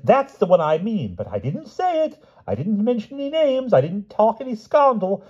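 A man reading an audiobook, voicing a flustered, excitable old king who exclaims in a shrill voice. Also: measured pace, highs cut off, full character voice. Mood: delighted. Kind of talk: audiobook character voice.

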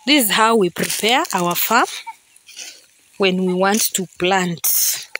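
A middle-aged woman with a Kenyan English accent, slowly explaining farm work step by step in an outdoor vlog filmed on a handheld phone. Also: medium pitch, fairly bright tone, a little hiss or hum, poor audio. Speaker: vlogger. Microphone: handheld phone camera.

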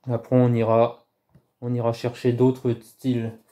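Man, 30s, casual home phone vlog; very dark, warm tone, halting and rambling delivery. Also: medium pitch, completely flat delivery. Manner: rambling, halting, many restarts and fillers. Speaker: YouTuber doing a prank call. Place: small room indoors at home. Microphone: phone camera held low at arm's length.

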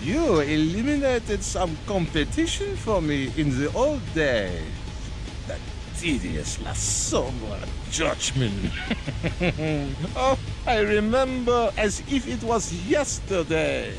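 An actor playing a gloating, theatrically amused old vampire count in a video game, pompous and mock-genteel, savoring each word. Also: male voice, a little high, big pitch swings, takes it slowly, music in the background, exaggerated voice. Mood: mockingly amused. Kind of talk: video game villain acting.

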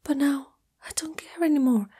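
A woman voicing a shy, lovestruck young spider monster girlfriend in an ASMR roleplay, hesitant, tender and intimate in a breathy whisper. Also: high-pitched, normal speed, dark tone, pitched above the performer's own voice, lightly acted. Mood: yearning. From Italy.

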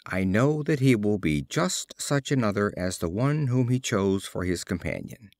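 A man's voice: lowish voice, lively intonation, moderate pace, a little mellow, no room sound, studio quality. A man voicing an unimpressed, decisive male buyer in a storybook, speaking plainly and formally. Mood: decided.

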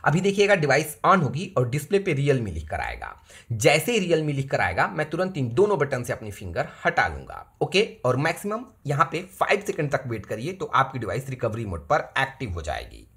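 Husky man walking through a phone tutorial. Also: mid-range voice, wildly swooping pitch, normal speed, a bit bright. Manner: repetitive, addresses viewers as friends. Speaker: phone repair tutorial host. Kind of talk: step-by-step tutorial narration. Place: indoors at a table, hands-only camera view.

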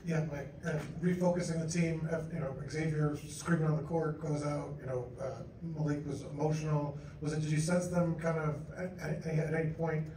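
A man asks a reporter's question at a press conference. His voice is soft-edged and dark. It comes from far off in an echoey room. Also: little pitch movement, moderate pace, hardly any pauses. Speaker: sports reporter. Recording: distant echoey room, faint rumble.

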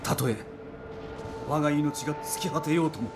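A man's voice: a little high, moderate pace, roomy sound, noisy background, lightly acted. A man playing an earnest, resolute samurai in a period video game, with formal, archaic, intense speech. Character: earnest, resolute samurai warrior bound by duty.

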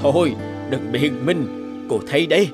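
A man voicing a stern, proud, mustached schoolteacher in an animated story, talking in a firm, lecturing way. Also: medium pitch, normal speed, natural voice. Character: stern mustached male teacher, competitive, proud. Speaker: teacher character. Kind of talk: animated fairy-tale dubbing. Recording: music bed behind voice.

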